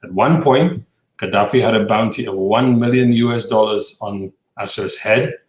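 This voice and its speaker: A male podcast host introducing a film and then questioning his guest, with a halting delivery full of fillers. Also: fairly low pitch, echoey room, rough recording.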